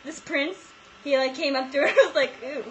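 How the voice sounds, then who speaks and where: A young woman co-hosting casually, retelling fairy tales for comedy in an excitable, giggly, mock-outraged manner. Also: high voice, brisk, slight background noise, low-quality recording. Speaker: vlog co-host.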